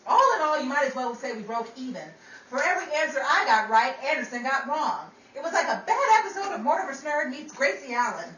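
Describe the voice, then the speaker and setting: A woman's voice: sits high, very animated, fairly quick, bright, forceful tone, full character voice. A woman playing a chatty, wisecracking 1930s radio gossip gal in an old-time radio drama, with theatrical vintage patter. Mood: exasperated, wisecracking. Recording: roomy, distant mic with faint noise.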